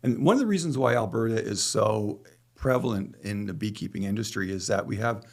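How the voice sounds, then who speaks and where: A man's voice: fairly low pitch, fairly quick, gravelly. A middle-aged man with a Canadian accent, talking conversationally as a guest in an interview. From Calgary, Canada. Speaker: podcast guest, beekeeper.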